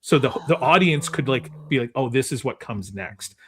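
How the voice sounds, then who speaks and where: A man's voice: mid-range voice, expressive, moderate pace, fairly bright tone, a little hiss or hum. A man hosting a film trivia game, chatting casually and keeping score. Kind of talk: casual chat.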